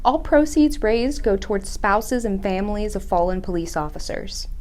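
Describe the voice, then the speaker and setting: A woman's voice: mid-range voice, expressive, normal speed, darkish tone. A woman presenting local events in scripted announcement narration.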